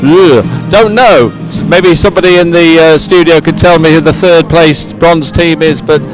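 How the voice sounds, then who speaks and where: A man presenting a live sports broadcast, ad-libbing commentary in a halting, hesitant way. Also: on the high side, over music, poor audio. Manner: hesitant, halting ad-libbed delivery. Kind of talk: live unscripted event commentary.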